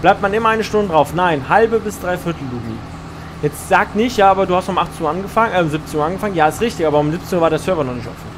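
A man talks his way through a live farming-game stream, greeting viewers and answering their chat as he plays. His voice sits high for a man. A steady noise runs under the recording. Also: very fast. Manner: chatty, answers chat messages while playing.

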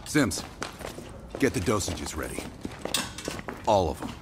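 A man's voice: low-pitched, very animated, slow pace, noisy background, full character voice. An actor playing a hard-edged, middle-aged American CIA operative in a video game, terse and intense as he gives orders. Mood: stern. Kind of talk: video game cutscene acting.

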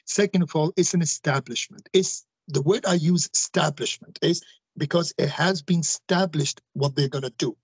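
A man in his forties talks forcefully over a video call, repeating himself for emphasis. His voice is a touch husky and sits a little high for a man. The sound is close and dry. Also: normal speed, dry recording. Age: 40s. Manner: forceful, repeats phrases, addresses listeners directly. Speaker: guest. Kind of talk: emphatic interview talk.

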